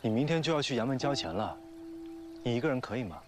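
A man's voice: medium pitch, lively intonation, fast, a little hiss or hum, natural voice. A young actor playing a proud, guarded young nobleman, curt and commanding. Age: young adult. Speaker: lead actor. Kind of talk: television period drama acting. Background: music score.

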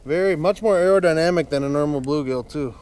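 A man's voice: a little high, quick pace, darkish tone, clean tone. A man who is a guest angler, bantering casually and offering tips while fishing outdoors by a creek. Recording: outdoor recording with background noise.

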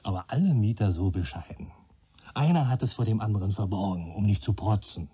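Young detective trainee, sardonic, argumentative, mellow husky voice, radio play. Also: male voice, on the low side, expressive, brisk. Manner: wry, argumentative. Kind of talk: German radio play acting.

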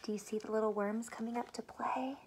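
A young adult woman, a teacher, reading a picture book aloud to small children with expressive delivery and simple chatty questions. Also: a little high, brisk, slight background noise. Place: small furnished room at home, indoors.

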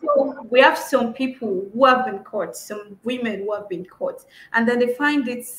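A woman's voice: on the high side, moderate pace. Echoey woman hosting a live stream. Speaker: host. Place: indoor room, on a video call. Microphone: video call device mic. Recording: echoey room, noisy video call audio.

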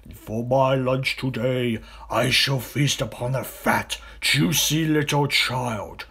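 A man voicing a sly, boastful, greedy crocodile villain in a children's storybook, in a hissing half-whisper with drawn-out, gloating words. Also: medium pitch, fairly even intonation, gravelly, pushed and bright, slightly rough, full character voice. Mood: scheming, gloating. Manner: slow, gloating, savouring menace.

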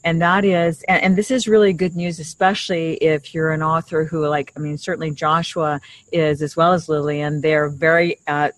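A middle-aged woman co-hosting a casual podcast, explaining things haltingly and rephrasing as she goes, speaking outdoors into a shared desktop microphone. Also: low-pitched, little pitch movement, no echo, poor audio. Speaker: podcast co-host. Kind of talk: casual conversational podcast talk. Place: outdoors in a leafy garden. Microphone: shared desktop condenser microphone.

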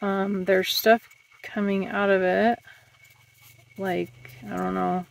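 A woman's voice: mid-range voice, flat delivery, slow pace, gravelly. Chatty woman reviewing gear outdoors. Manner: chatty, musing aloud while eating. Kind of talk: casual product review vlog. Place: outdoors in a grassy meadow.

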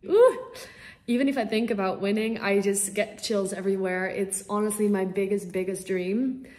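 A young woman from the Netherlands talks about her music over a laptop call from a small room at home. Her voice is mellow and soft, and her answers come out bubbly and eager, dotted with ums. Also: medium pitch, steady tone, moderate pace. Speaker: singer-songwriter guest. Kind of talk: casual interview answers.

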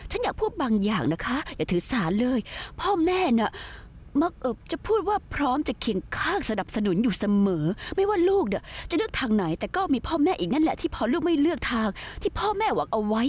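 A spiteful young woman sneers and mocks her rival. Her voice is lively in pitch and carries a sarcastic edge in this dubbed drama. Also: brisk, slightly rough, heavily acted. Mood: taunting. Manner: taunting, sarcastic.

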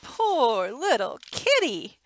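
A woman's voice: fairly high pitch, wildly swooping pitch, takes it slowly, no room sound, voice pushed up, exaggerated voice. A woman voicing a kindly, very old woman from a picture book, exclaiming and emphatic. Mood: tender.

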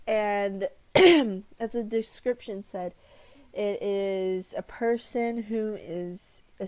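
A woman's voice: mid-range voice, darkish tone. A young woman giving casual commentary on a game, chatty and reading on-screen text aloud, recorded at a desk in a small room. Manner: chatty, reads on-screen text aloud, clears throat often. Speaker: gaming YouTuber.

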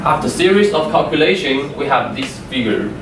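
A young man, a native Chinese speaker, giving a class project presentation, halting and repeating words as he explains slides. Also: on the high side, animated. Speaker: student presenter. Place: classroom with a projector screen, indoors. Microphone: far room microphone. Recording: echoey room from far off, noisy.

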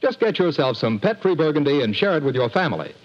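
A man's voice: fairly high pitch, sing-song, fast. A man announcing on the radio, reading an upbeat, salesy ad and scripted narration. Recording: dull lo-fi 1940s radio broadcast.

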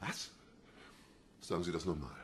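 Raspy, irascible police inspector. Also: male voice, mid-range voice, big pitch swings, fast talker, pushed and bright, heavily acted. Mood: exasperated. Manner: gruff, exasperated. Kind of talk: radio crime drama acting.

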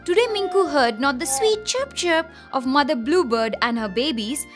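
Casting: female children's storyteller, high voice, theatrical and expressive, music underneath. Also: normal speed. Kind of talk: animated scripted children's story narration.

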